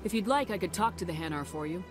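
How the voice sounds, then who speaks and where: A woman's voice: fairly low pitch, lively intonation, quick pace, natural voice. A woman playing a composed, assertive military hero in a video game, speaking firmly and directly. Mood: diplomatic. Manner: direct, firm. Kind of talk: video game character acting. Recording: game music behind the voice.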